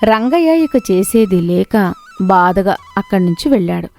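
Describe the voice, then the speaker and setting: A woman's voice: medium pitch, lively intonation, a little slow, a little mellow, faint music behind. A woman narrator reading a scripted moral story about villagers.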